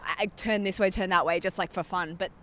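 A woman's voice: on the low side, brisk. A woman with an Australian accent, chatting animatedly as a guest on a casual podcast, saying "like" often. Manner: chatty, animated, heavy use of "like".

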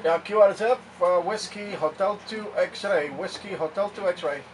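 A man's voice: sits high, normal speed. A man operating an amateur radio, calling out call signs in clipped, repeated phrases. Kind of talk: amateur radio satellite contact calls. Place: indoor radio room. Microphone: camera mic away from the speaker. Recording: distant mic, loud noise, clicks.

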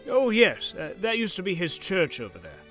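An actor playing a kindly, whimsical old professor with a theatrical, musing manner in period drama style. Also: male voice, high voice, wildly swooping pitch, normal speed, fairly bright tone, faint music behind, exaggerated voice. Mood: puzzled. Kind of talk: period radio-drama acting.

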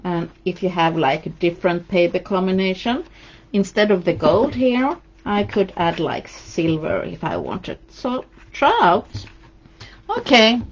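A woman's voice: fairly low pitch, expressive, unhurried, breathy edge, muffled recording. A woman with a Swedish accent, a Swedish native speaker, giving a live craft tutorial and chatting encouragingly while she paints. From Sweden. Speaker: craft demonstrator. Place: indoors at a craft table.

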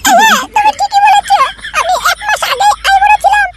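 An extremely high, squeaky young wife in a comic cartoon. She pleads plaintively and talks very fast, with loud music playing behind her. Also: steady tone, far from the mic. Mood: plaintive, pleading. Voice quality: squeaky, shrill. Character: young married woman, tearful, pleading battered wife.

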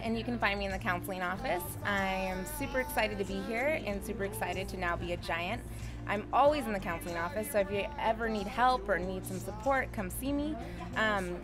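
A young woman who works as a school counselor introduces herself in an upbeat, welcoming way from her office. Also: medium pitch. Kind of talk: casual self-introduction. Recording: music bed underneath.

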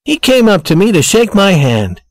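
Woman reading as earnest, humble little man, lively pitch. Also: moderate pace, no echo. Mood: proud. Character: humble, earnest little man in love, proud of his friend.

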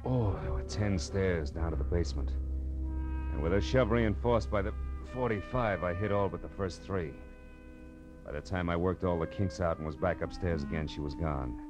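A man's voice: deep voice, moderate pace, over music, close to an everyday voice. An American man playing a hardboiled, wry private detective in an old radio noir, terse and world-weary. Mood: wry, grim. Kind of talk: 1940s-50s radio noir acting and narration.

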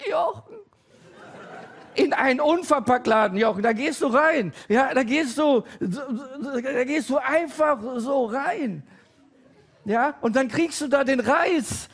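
A man in his forties doing a stand-up comedy routine with halting, hesitant delivery and deadpan timing, full of pauses and fillers. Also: very high voice. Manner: deadpan, growing excited and loud toward the end.

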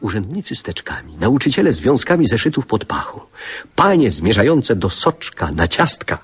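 Husky, expressive older man reminiscing. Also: on the low side, normal speed, heavily acted. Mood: nostalgic, vivid. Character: older male writer recalling his youth, nostalgic and reflective. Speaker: narrator. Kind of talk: literary radio drama narration.

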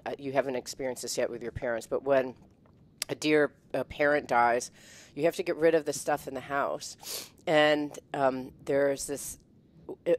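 Animated middle-aged woman on headset. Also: a bass voice. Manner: animated, emotional, laughs often, many restarts. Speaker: guest. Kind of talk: casual chat. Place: home room, indoors. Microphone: headset mic on video call.

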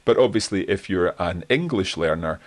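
Scottish host in his forties, deep voice, relaxed and chatty on a home live stream, lots of ums. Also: moderate pace. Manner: relaxed and friendly, thanks viewers, frequent fillers. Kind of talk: live stream Q&A, reading comments. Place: home study lined with bookshelves. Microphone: desk microphone in front, webcam framing.

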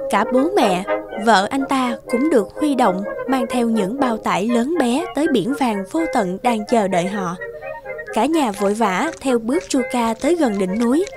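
A woman with a fairly high voice narrates a children's fairy tale. Her delivery is scripted and measured, in storyteller style, with music clearly playing behind her. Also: expressive.

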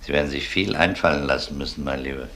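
A sardonic detective in a crime radio play. His low voice is bright and forceful, with a dry, ironic authority. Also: fast talker. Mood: sardonic, firm.

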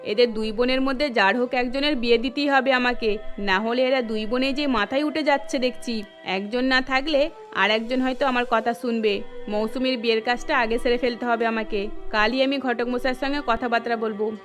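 A woman's voice: on the high side, fairly even intonation, a little fast, voice pushed down, lightly acted. Woman voicing an exasperated, nagging cartoon mother. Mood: fed up, resolved. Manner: nagging, fretful. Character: exasperated middle-aged mother of two daughters. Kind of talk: children's cartoon voice acting. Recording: music bed under the voice.

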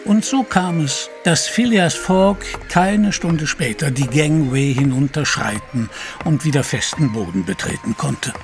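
Ein Mann erzählt theatralisch und getragen die Handlung eines Hörspiels. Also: male voice, a little high, unhurried, soft background music. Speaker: narrator. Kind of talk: scripted audio drama narration.

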